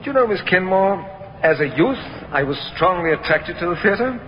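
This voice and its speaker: Casting: shrewd radio-play detective inspector, polite and measured, highish swooping voice, muffled old recording. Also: male voice, lively intonation, big-room sound, a little hiss or hum. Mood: methodical. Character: shrewd, courteous, methodical male police inspector. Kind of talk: 1950s radio drama acting.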